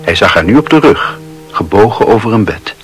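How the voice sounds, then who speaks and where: A man narrating a literary radio drama in a measured storytelling manner. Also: lowish voice, animated, fairly bright tone. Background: music.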